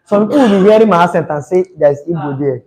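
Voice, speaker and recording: A man's voice: high voice, animated, fast, darkish tone. A young Nigerian man with a Nigerian accent, teaching a chemistry class live, chatty and teasing, slipping into Pidgin. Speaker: chemistry tutor.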